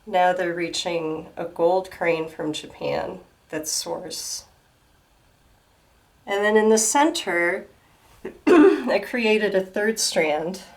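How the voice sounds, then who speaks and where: A woman at home gently explaining a handmade display to a camera, her voice slightly hoarse from a starting cold. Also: fairly low pitch. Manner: gentle, unhurried, pausing to explain details. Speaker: student presenting a craft project. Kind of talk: slow show-and-tell explanation. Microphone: camera filming a display.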